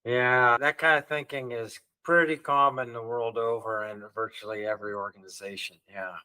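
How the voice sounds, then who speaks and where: A male podcast host interviewing a guest, asking relaxed questions and adding short acknowledgements. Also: medium pitch, moderate pace, clear, resonant tone, low-quality recording. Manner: brief backchannel, relaxed questions.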